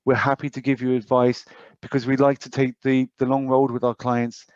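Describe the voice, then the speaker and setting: A man's voice: mid-range voice, flat delivery, normal speed, no room sound. A British man giving an informal financial advisor's presentation to clients over an online call, explaining in a hesitant way with hedged asides. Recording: dull, muffled online call audio.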